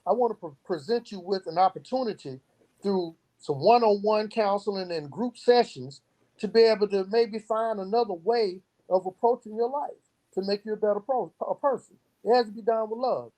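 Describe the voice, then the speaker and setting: A man's voice: sits high, moderate pace, dark tone, low-quality recording. A man speaking as an interview guest, giving earnest, emphatic answers and illustrating his points with stories. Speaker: guest, youth program founder. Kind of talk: earnest interview talk with storytelling.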